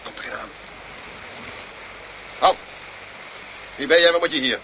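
A man's voice: fairly high pitch, a little slow, poor audio. An actor playing a commanding, hot-tempered, middle-aged father and mine owner in a radio drama, barking imperious orders. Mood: stern, commanding. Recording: radio play with loud outdoor noise.

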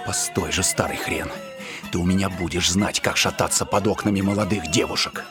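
An actor playing a rowdy, hot-blooded young Cossack village lad in a theatrical, declamatory audio drama style. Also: male voice, deep voice, steady tone, moderate pace, music in the background, close to an everyday voice. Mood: muttering, indignant.

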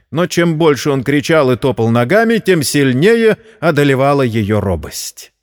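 A man narrates a dramatic story in a middle-pitched voice that moves widely up and down. His delivery is expressive and theatrical. A long reverb trails behind his words. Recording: long reverberant hall sound.

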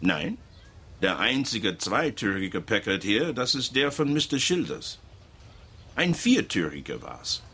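A man's voice: fairly low pitch, very animated, measured pace, vocal fry, sharp tone, husky, heavily acted. An actor playing a wary, evasive working-class man with folksy, ungrammatical speech in a German radio drama. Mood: wary, stubborn.

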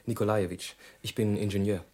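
An actor in a German radio play, playing an earnest, wary engineer in a measured, matter-of-fact manner. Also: male voice, fairly low pitch, little pitch movement, darkish tone, close to an everyday voice. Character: male bridge engineer, earnest, wary, upright husband.

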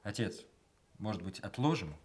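Actor as animated young lieutenant son. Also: male voice, on the low side, normal speed, natural voice. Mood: reserved. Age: young adult. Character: young army lieutenant, cool, independent grown son. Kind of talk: Soviet radio play acting.

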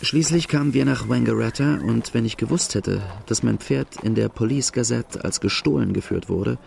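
A man's voice: medium pitch, normal speed, vocal fry, a little mellow, a little hiss or hum. An actor narrating a radio drama in the first person as a plain-spoken outlaw looking back on his boyhood. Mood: reflective.

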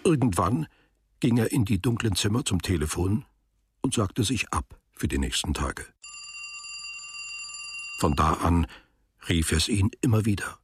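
A man narrating a literary radio drama in measured, deliberate phrases. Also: lowish voice, animated, a little hiss or hum.

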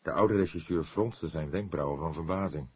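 A male audiobook narrator with a deep, husky voice. He tells a detective story in a measured, suspenseful way. The recording is close and dry. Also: no room sound. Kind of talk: dramatic scripted narration of a detective novel.

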